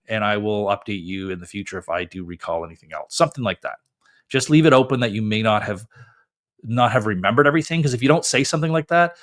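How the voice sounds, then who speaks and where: Male lawyer from Canada, mid-range voice, informal screen-share explainer, plenty of ums and restarts. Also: medium pitch. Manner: casual, conversational, with frequent fillers and self-corrections.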